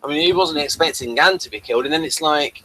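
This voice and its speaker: A man giving casual, running commentary on a TV episode as a review co-host, rambling and halting, often trailing off mid-sentence. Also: fairly high pitch, bright, forceful tone, rough recording.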